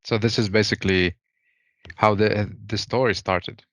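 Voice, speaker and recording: A man's voice: fairly low pitch, steady tone, normal speed, a bit bright, no echo. A man hosting a podcast interview, asking short probing questions. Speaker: podcast host.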